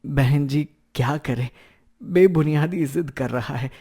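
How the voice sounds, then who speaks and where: A man as a mellow, humble poor father. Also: on the high side, unhurried, slightly rough. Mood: sheepish. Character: poor, humble father and labourer, polite and worried.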